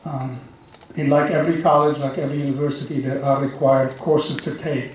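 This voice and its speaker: A man's voice: mid-range voice, flat delivery, a little fast, distant mic, slight background noise. A man from Lebanon, a board chairman, telling an earnest story in a speech at a community dinner. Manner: storytelling, earnest, warm-hearted. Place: large banquet hall. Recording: echoey hall, mic heard from afar.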